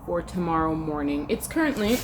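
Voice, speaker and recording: A woman's voice: low voice, very animated, a little fast, slight background noise. A young woman, a university student, chatting casually to her camera at home, rambling and trailing off with fillers. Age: young adult. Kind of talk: casual vlog chat to camera.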